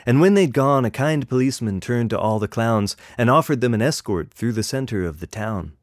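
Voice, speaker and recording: Male storyteller, expressive rhyming picture-book read, mid-pitched, close dry recording. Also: fairly quick, dry recording. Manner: expressive, rhythmic, carefully paced delivery. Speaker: author reading his own book. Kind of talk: animated picture-book narration in rhyming verse.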